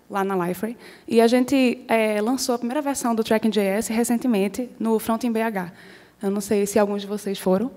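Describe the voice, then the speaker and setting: A woman with a Northeastern Brazilian accent giving a friendly, chatty conference talk as a software engineer, often checking in with her audience. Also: a little high. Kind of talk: conference presentation. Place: conference stage before an audience. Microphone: handheld or stage mic.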